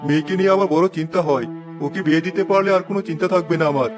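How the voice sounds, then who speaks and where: A man's voice: on the high side, racing, music in the background, close to an everyday voice. A man voicing a caring, protective middle-aged father in a cartoon, firm with his wife.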